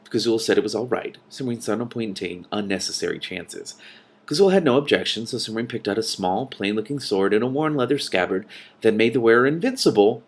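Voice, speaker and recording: A man with a fairly low voice reads fiction aloud as a host. He shifts between narration and casual, exclamatory reactions in an animated manner. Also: a little fast. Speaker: host reading a novel aloud with commentary.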